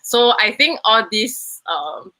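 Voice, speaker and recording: A woman's voice: a little high, slow, sharp tone, poor audio. A young woman with a Singaporean accent, a student film producer answering interview questions in a rambling, self-correcting way.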